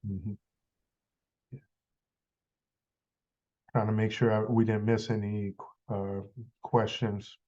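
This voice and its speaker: A man with a cold, sounding a little stuffy, leading a members' meeting in a halting, filler-heavy way. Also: fairly low pitch, flat delivery, mellow, dull audio. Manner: halting, many fillers and restarts. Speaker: association leader running a meeting. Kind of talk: casual meeting update.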